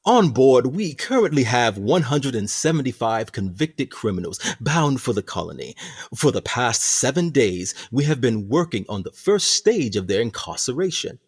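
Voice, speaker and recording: A man playing a husky, pompous prison captain. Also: medium pitch, very animated. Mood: coolly authoritative. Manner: pompous, deliberate, condescending. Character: smug, theatrical ship captain running a sinister prison colony. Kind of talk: radio play acting.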